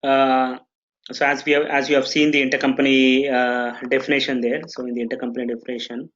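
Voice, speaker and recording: Brief: male trainer, mid pitch, talks through setup steps with lots of "okay"s, dull lo-fi audio. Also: flat delivery, brisk, fairly bright tone. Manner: explanatory, full of "okay" checks and restarts. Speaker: software trainer. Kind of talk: live online training session.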